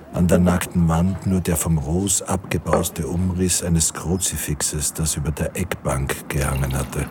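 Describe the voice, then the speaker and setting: An actor as the sober narrator of a war radio drama, reading literary description in a measured, solemn way. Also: male voice, deep voice, noisy background. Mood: solemn, descriptive. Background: music.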